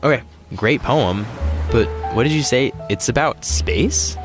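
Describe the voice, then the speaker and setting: A man's voice: mid-range voice, unhurried, close to an everyday voice. An actor playing an earnest, literal-minded male astronaut in an audio sci-fi drama, hesitant and full of puzzled questions. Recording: music behind the voice.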